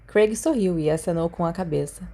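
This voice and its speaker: A woman's voice: lowish voice, a little fast, dark tone. A woman with a Brazilian Portuguese accent reading a story aloud as a scripted audiobook narration. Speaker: podfic narrator.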